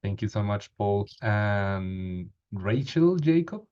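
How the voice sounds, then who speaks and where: A man in his thirties moderates an online meeting over his webcam, speaking slowly in a somewhat low voice. He pauses often with fillers. The audio is dull and lo-fi. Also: lively intonation, warm tone, clear, resonant tone. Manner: hesitant, frequent fillers. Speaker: meeting host. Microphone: video call webcam.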